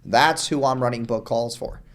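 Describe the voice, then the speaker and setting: A young man from New York, a guest on a podcast, talking fast and casually, throwing in "dude" and "like" often. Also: medium pitch, fairly bright tone. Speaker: guest, marketer.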